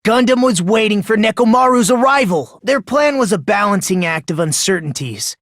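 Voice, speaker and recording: Breathy young anime game guy, done by an actor, creepy polite, puts himself down, hope obsessed, theatrical, dry close recording. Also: medium pitch, expressive, moderate pace, no room sound, lightly acted. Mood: smug, theatrical. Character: eerily polite young man, self-deprecating, obsessed with hope. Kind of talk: anime-style video game voice acting.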